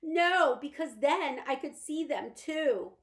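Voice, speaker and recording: A woman voicing a fragile, erratic, imperious wealthy mother who is bedridden. She speaks in a languid, drawn-out way that flares into shrill outbursts. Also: big pitch swings. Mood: sobbing.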